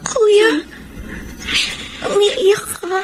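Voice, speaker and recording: A woman's voice: very high-pitched, flat delivery, drawn-out words, full character voice. An actress playing an earnest young girl, a little sister, in a Filipino radio drama. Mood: earnest, sad. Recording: noisy ambience behind.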